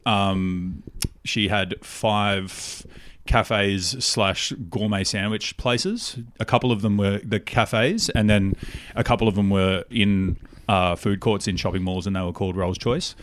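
A man's voice: fairly low pitch, a little slow, a bit bright, slight background noise. An Australian man in his thirties, telling stories in an informal, rambling, slangy way as a podcast guest. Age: 30s. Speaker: podcast guest, cookbook publisher. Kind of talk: candid casual interview chat.

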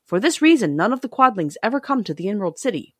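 A woman's voice: medium pitch, sing-song, quick pace, husky, no echo, clean studio sound. A woman voicing a timid, helpful male palace soldier in a storybook, cautious and informative. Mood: cautioning. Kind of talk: storybook character reading.

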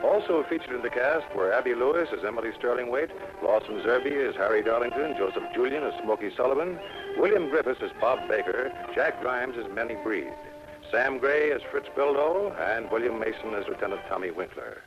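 A man's voice: mid-range voice, expressive, fairly quick. A man announcing scripted credits for a 1960s radio programme in a polished, formal delivery. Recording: muffled old radio broadcast with music.

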